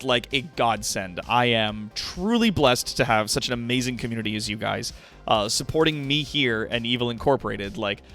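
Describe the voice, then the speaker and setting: A man's voice: medium pitch, sharp tone. A man streaming casually to his viewers, rambling and swearing freely as he talks as an online entertainer. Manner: profane, rambling, effusively thankful to viewers. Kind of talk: casual livestream chat with viewers.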